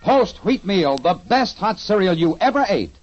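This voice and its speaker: A man pitches a product in an emphatic 1950s radio commercial. His voice is high and husky and leaps around a great deal, heard through a muffled old recording. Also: big pitch swings, normal speed, music in the background. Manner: emphatic, salesy. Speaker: commercial announcer.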